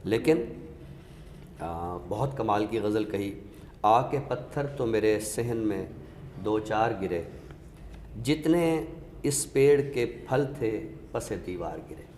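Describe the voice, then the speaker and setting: A man with a Pakistani accent, giving a literary talk with recited verse in a measured, explanatory way with pauses. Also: on the low side, lively intonation, a little mellow. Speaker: host of an Urdu literature show. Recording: echoey reverberant room, faint noise.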